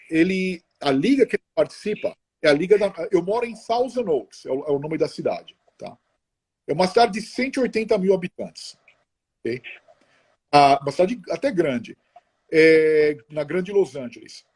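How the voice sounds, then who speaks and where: Middle-aged guy, slightly high warm voice, rambles and restarts a lot, video call. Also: husky. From Brazil. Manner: chatty, halting, many restarts and tag questions. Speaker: interview guest. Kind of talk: casual conversational interview.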